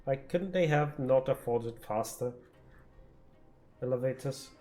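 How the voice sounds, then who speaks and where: A man's voice: mid-range voice, unhurried, mellow. A man streaming a game with casual, sarcastic commentary, muttering asides and swearing. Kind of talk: casual live gameplay commentary. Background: faint game music.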